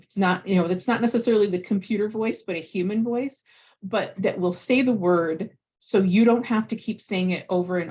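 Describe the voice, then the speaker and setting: A woman teacher speaking over muffled, lo-fi audio. Her voice sits at a middle pitch, and she gives thoughtful advice drawn from experience. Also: a little mellow. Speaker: adult ESL teacher. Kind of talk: casual discussion among teachers. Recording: dull lo-fi audio.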